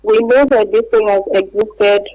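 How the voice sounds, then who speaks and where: A woman's voice: medium pitch, unhurried, darkish tone, no room sound, low-quality recording. A woman explaining things as an expert guest calling in to a radio interview by phone. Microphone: phone call.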